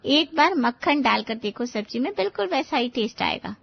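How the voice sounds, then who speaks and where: Kindly middle-aged village aunt for a cartoon family tale, female actor, high voice, patient, slightly muffled recording. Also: lively intonation, normal speed, creaky, exaggerated voice. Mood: friendly, explaining. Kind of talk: cartoon story dialogue.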